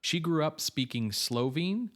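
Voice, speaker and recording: A man's voice: fairly high pitch, lively intonation, unhurried. A man co-hosting a film podcast, reading background notes in an informative, casual way with frequent fillers. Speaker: podcast co-host.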